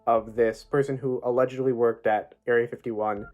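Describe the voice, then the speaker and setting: A man's voice: mid-range voice, flat delivery, normal speed, narrow, tinny audio. Man chatting over light music. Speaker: playwright. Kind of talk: casual promotional interview about own work.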